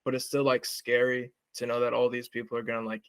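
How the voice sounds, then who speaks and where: A man's voice: medium pitch, completely flat delivery, fast, a bit bright, no room sound. A young man hosting an interview, talking casually with lots of "like" fillers. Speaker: interviewer, project creator.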